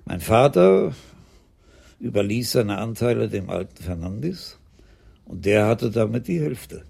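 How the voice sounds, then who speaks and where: An actor playing an elderly police commissioner in a radio play, courteous, dry and measured, with a gravelly, husky voice. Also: male voice, on the low side, animated, darkish tone, full character voice. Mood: reflective.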